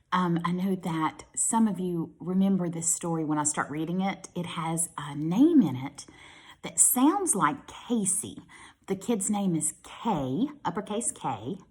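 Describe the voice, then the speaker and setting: An American woman reads aloud as a kindergarten teacher to small children. Her pitch moves up and down a great deal, and she is expressive, pausing to explain things in simple words. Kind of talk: animated read-aloud to young children.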